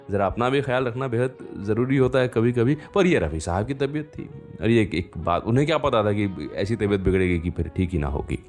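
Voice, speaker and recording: A man hosting a radio show, telling stories conversationally with unhurried pauses and fillers. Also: on the low side, a little mellow. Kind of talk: conversational storytelling radio presenting.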